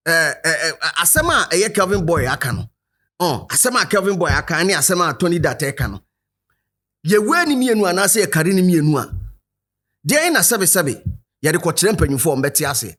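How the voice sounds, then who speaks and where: A man with a Ghanaian accent hosting a commentary show, animated and rhetorical, speaking straight to his viewers. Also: sits high. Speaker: show host. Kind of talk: commentary to camera, mixing Twi and English.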